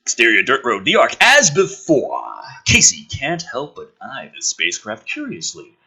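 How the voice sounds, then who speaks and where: A man's voice: medium pitch, huge pitch swings, moderate pace, sharp tone, slightly rough. A man reading a film script aloud theatrically and riffing on it with sarcastic, sweary commentary.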